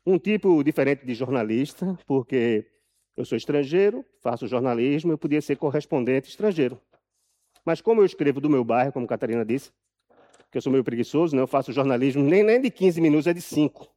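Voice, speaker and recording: A man with a Brazilian accent giving a live talk from a prepared text, telling his story deliberately and emphatically, repeating phrases for effect. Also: fairly high pitch, warm tone. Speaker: journalist and author speaking at an event.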